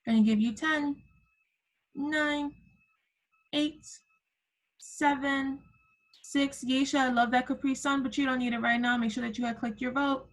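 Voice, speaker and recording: A woman's voice: sits high, fairly even intonation, measured pace, pauses often. A woman kindergarten teacher leading an interactive online lesson for young children over a video call, encouraging them with simple prompts and endearments. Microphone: computer microphone on a video call.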